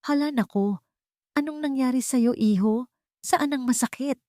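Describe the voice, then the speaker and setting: A woman voicing a kind, calm stranger tending a hurt child with tender concern. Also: high-pitched, moderate pace, dry recording, studio quality, pitched above the performer's own voice, lightly acted.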